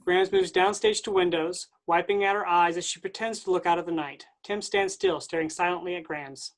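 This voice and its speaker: A woman's voice: fairly low pitch, fairly even intonation, normal speed. A woman reading stage directions as scripted narration for a staged play reading.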